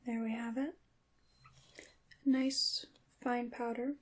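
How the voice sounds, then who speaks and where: A woman performing whispered ASMR as a gentle witch, speaking softly with slightly formal phrasing. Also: on the high side, little pitch movement, slow, slight background noise. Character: gentle witch guiding a salt-making ritual.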